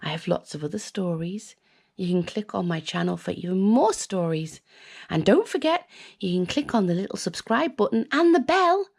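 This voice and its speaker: Very animated British woman reading a storybook. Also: mid-range voice, creaky. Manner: dramatic, with drawn-out words. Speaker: children's story reader. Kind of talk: expressive scripted storybook narration.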